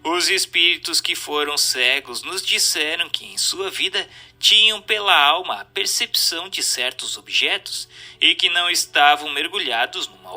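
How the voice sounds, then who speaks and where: Bright-voiced Brazilian man narrating. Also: a little high. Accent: Brazilian Portuguese. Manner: didactic, formal. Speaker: narrator reading a book aloud. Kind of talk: scripted audiobook-style narration.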